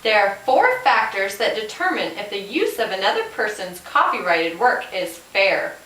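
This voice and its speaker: A woman's voice: mid-range voice, bright, forceful tone. A woman voicing a helpful police officer puppet, giving a scripted, instructive talk to a class. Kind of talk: scripted educational puppet show. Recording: roomy, distant microphone.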